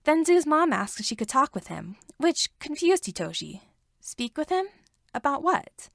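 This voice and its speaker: A woman reading fan fiction aloud as an expressive storyteller with wry asides. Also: high-pitched, moderate pace, vocal fry, no echo, clean studio sound. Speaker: podfic reader.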